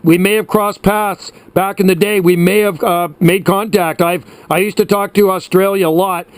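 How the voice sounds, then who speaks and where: Chatty higher-pitched man on the radio. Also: steady tone, normal speed, dry recording. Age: around 60. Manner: chatty, reminiscing, uses radio sign-offs. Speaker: amateur CB radio operator. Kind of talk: casual radio chat.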